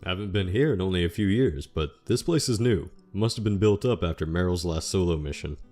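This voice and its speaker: A man's voice: low voice, brisk, darkish tone, lightly acted. An actor playing a laid-back male biker adventurer in a fantasy audiobook. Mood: casual. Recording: background music under the voice.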